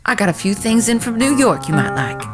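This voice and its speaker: Woman acting an effusive, animated frontier seamstress. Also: fairly high pitch, a little fast, over music. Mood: delighted, welcoming. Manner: effusive, folksy, welcoming. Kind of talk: Western audio drama acting.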